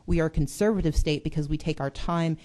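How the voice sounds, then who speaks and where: Woman, legislator, committee speech, earnest, deliberate, mellow tone. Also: low voice. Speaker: state legislator.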